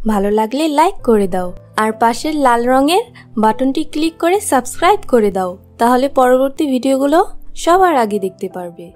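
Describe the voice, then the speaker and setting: A woman's voice: sits high, sing-song, moderate pace, a little mellow, soft background music. A woman giving a scripted channel outro, addressing young children as an announcer.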